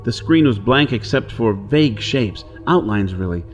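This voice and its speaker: An actor playing a wary, brusque hunted outlaw in a pulp radio drama, speaking and narrating in a dramatic, brooding manner. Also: male voice, fairly low pitch, lively intonation, normal speed, natural voice. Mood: brooding, reflective. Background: music.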